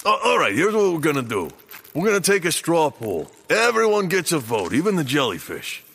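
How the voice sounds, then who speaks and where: A man's voice: a little high, very animated, brisk, creaky, a bit bright, slightly rough, full character voice. A man playing a dim, folksy pirate henchman with hesitant thug talk in a comic audio drama. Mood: deliberating. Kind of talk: audio comedy drama acting.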